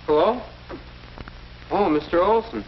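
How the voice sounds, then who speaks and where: Casting: an earnest, well-mannered teen boy for vintage classroom film, lightish pitch, hissy soundtrack. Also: measured pace. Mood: polite. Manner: earnest, polite, deferential. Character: earnest, polite teenage schoolboy. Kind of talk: 1940s educational film acting. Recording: muffled, noisy old 1940s film soundtrack.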